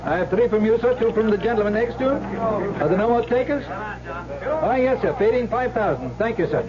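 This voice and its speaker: High-pitched formal casino croupier. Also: male voice, expressive, fairly quick, a crowd in the background. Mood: brisk, formal. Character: brisk casino croupier calling bets at the dice table. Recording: dull old radio broadcast.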